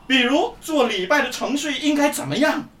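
A woman's voice: sits high, animated, fairly bright tone. Woman as a pompous veteran churchgoer, echoey. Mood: smug, pompous. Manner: pompous, drawn-out emphasis. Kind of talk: scripted Mandarin radio drama acting. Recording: roomy echo, distant from microphone.

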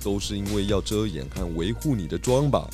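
A man playing a confident, clever detective in a children's radio drama, explaining his case deliberately. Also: lowish voice, lively intonation, warm tone, soft background music, natural voice. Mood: teasing. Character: confident, clever male detective unmasking culprits.

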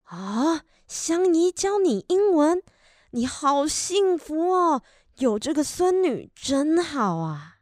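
A woman's voice: high voice, sing-song, slow pace, darkish tone, dry recording, studio quality, exaggerated voice. A woman acting a caring, admiring female character in a children's radio drama. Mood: gushing, friendly. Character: caring mother and gushing elderly woman friend.

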